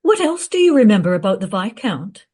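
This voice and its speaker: An actress playing a polite, flattering lady interviewer in a Regency radio play, speaking with formal courtesy. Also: mid-range voice, fairly quick, husky. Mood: polite curiosity.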